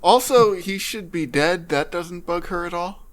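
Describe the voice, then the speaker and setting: A man's voice: high-pitched, measured pace. A man co-hosting a podcast in casual chat, making dry, skeptical remarks. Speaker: podcast co-host.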